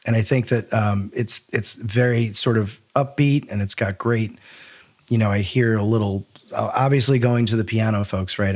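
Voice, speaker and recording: A man's voice: lowish voice, a little mellow. A man hosting a podcast in casual, conversational chat, given to joking asides. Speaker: podcast host.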